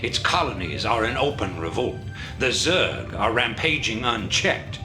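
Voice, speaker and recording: An actor playing a calculating, authoritative rebel leader in a video game cutscene, speaking in a measured, persuasive way. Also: male voice, mid-range voice, sing-song, over music. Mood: commanding.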